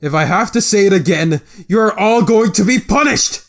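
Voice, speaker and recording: A man's voice: high voice, lively intonation, moderate pace, fairly bright tone, faint music behind. A man playing a stern vampire father and leader in a scripted roleplay, speaking formally and with authority. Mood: angry.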